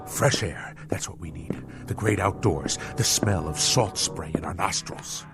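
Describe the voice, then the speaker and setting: An actor playing a pompous, irascible middle-aged boss in a radio drama, blustering in nautical turns of phrase like a sea captain. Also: male voice, low voice, unhurried, in a whisper. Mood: wistful. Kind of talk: theatrical radio drama acting. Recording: radio drama with music underneath.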